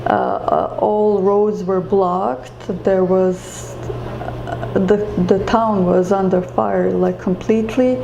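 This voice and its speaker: Slow, gravelly woman in an echoey room. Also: medium pitch, fairly even intonation, dull audio. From Croatia. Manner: halting and reflective, many fillers and restarts. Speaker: interview guest. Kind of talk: personal story in an interview. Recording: echoey room with faint ambient noise.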